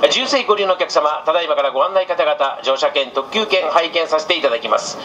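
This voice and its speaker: Bright-voiced man announcing on a train PA. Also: a little high, lively intonation, fast talker, muffled recording. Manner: polite, formulaic, reciting station lists. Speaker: train conductor making onboard announcements. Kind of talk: formal public announcement. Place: over a train cabin's speakers. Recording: train PA with clear background noise.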